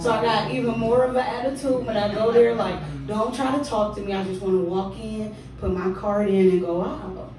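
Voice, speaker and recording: A woman's voice: medium pitch, steady tone, normal speed, hardly any pauses, crowd noise. A woman performing spoken word poetry with a rhythmic, emphatic delivery and loose, conversational asides between pieces, at a small living-room poetry venue. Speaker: featured poet. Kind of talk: spoken word poetry with casual stage banter. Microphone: room recording from a distance. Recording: very echoey room, distant mic, faint hum.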